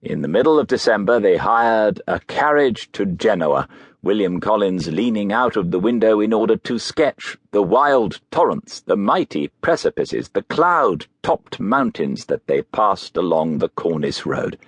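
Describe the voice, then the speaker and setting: A man narrating a biography audiobook in a measured, literary storytelling manner. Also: fairly low pitch, sing-song, breathy edge, dry recording.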